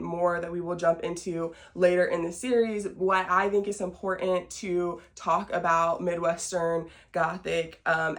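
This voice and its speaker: A woman in her late twenties with an American accent, hosting a podcast and chatting casually to the audience in a folksy, self-deprecating way. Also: lowish voice. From small-town Southern Illinois, United States. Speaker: podcast host. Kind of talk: casual chat to camera, introducing a show.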